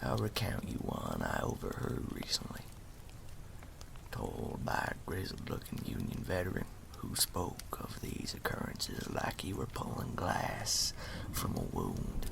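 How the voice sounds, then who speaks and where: A man playing a wry animal storyteller in a campfire ASMR roleplay, speaking slowly and ominously in a gravelly half-whisper. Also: a bass voice, exaggerated voice. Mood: inviting, hushed. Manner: slow, deliberate, folksy, ominous.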